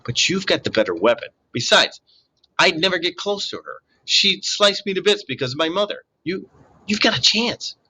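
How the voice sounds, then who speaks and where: Man voicing bossy teen girl, urgent, matter-of-fact, high and bright. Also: big pitch swings, normal speed, slightly rough, exaggerated voice, low-quality recording. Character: brainy, bossy teenage girl.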